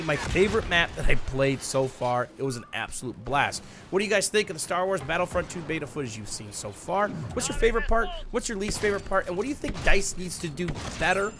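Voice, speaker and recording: A man giving casual gameplay commentary in an informal way, full of gamer slang. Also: high voice. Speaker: gaming video creator.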